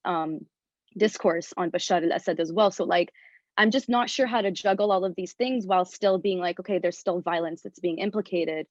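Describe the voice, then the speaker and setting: A woman's voice: medium pitch, a little mellow, no room sound. A woman from Syria asking a long question in an online Q&A, thinking aloud and searching for her words with many fillers. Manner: searching, hesitant, full of fillers. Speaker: audience member asking a question. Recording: dry, dull lo-fi online call audio.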